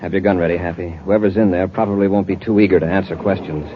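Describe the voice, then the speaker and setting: An actor playing a decisive, protective space patrol commander in a 1950s radio adventure serial, with a clipped, authoritative delivery. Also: male voice, low-pitched, fairly even intonation, fast talker, close to an everyday voice. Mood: steady command. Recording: dull, noisy 1950s radio recording.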